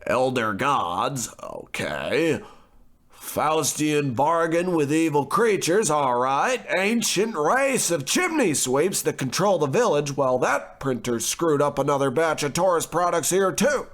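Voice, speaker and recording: Guy doing a stuffy rich gentleman, old radio horror comedy, slow formal delivery, fussy and easily exasperated. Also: a little high, lively intonation. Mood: puzzled, reading. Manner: formal, fussy, hesitant stammering when rattled. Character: well-to-do, pompous, easily exasperated gentleman traveler.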